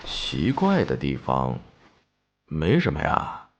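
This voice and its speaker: An actor playing a sheepish, henpecked working-class man in his forties in an animated crime drama, deferential, folksy and hesitant. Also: a bass voice, takes it slowly, husky, heavily acted. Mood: puzzled recollection. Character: sheepish, henpecked 45-year-old working-class male driver.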